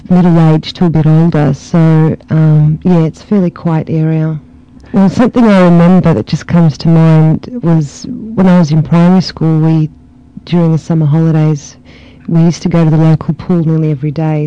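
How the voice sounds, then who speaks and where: A man with a Melbourne Australian accent, reading a short passage and then answering interview questions in a relaxed way, with frequent ums and you-knows. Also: fairly high pitch, clean tone, no room sound. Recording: dull lo-fi archive recording.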